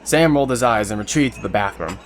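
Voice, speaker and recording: A man's voice: on the low side, animated, fast. A man giving scripted third-person narration for an audio drama. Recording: faint music under narration. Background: faint music.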